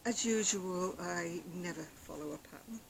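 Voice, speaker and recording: An older woman vlogging about her crochet, chatting casually on an outdoor deck in a quiet, soft-spoken, rambling way. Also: on the low side, measured pace, creaky, a little hiss or hum. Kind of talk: casual chat to camera. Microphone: external camera microphone.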